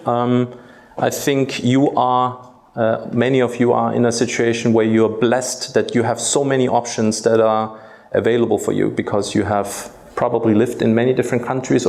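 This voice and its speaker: A man in his forties from Germany, a native German speaker, giving a hesitant talk to students in a school auditorium, pausing often on filler sounds. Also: medium pitch, gravelly, darkish tone. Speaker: guest speaker. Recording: echoey hall.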